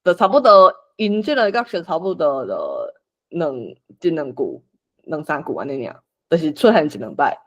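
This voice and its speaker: A host with a Taiwanese accent casually organizing people in an online meeting, coaxing and animated. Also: medium pitch, normal speed. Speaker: host assigning roles for a script read-along.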